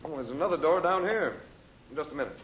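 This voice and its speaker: An actor playing a calm, commanding mystic adventurer, measured and authoritative, in old-time radio drama style. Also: male voice, a little high. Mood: purposeful. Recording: muffled, hissy old radio recording.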